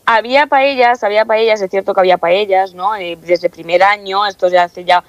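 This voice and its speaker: A woman's voice: medium pitch, fairly even intonation, brisk, no room sound. A young woman from Castilla-La Mancha, a student council member, answering interview questions in a chatty way with many fillers and restarts. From Castilla-La Mancha, Spain. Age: young adult. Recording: dull lo-fi dry sound.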